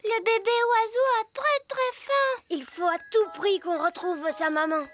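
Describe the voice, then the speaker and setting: An actor playing an eager, earnest and excitable small child in a French fairy-tale cartoon. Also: extremely high pitch, a little slow. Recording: loud music behind the voice.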